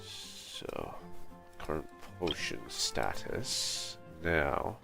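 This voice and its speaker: Male game streamer, very deep pitch, casual play-by-play and reading aloud, light music underneath. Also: big pitch swings, drawn-out words, creaky. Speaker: game livestreamer. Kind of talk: casual live gameplay commentary, reading on-screen text.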